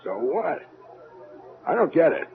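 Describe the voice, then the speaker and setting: Brash space pilot on vintage radio. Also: male voice, fairly high pitch, sing-song, measured pace, creaky, narrow, tinny audio, full character voice. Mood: blunt. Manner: casual, folksy, blunt. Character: brash, plain-spoken military spaceship pilot, a major. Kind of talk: old-time radio drama acting.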